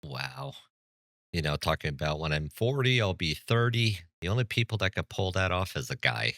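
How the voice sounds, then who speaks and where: A man's voice: low voice, big pitch swings, normal speed, creaky, bright, forceful tone, no room sound, clean studio sound. A man hosting a reaction commentary, blunt and lecturing with a sarcastic edge. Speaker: commentary channel host.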